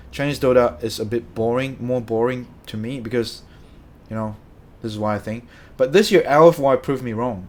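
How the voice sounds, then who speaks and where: A man's voice: medium pitch, darkish tone. A young man from Malaysia chatting loosely with his live-stream viewers, rambling and correcting himself as he talks. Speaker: streamer answering chat. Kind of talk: casual live-stream chat.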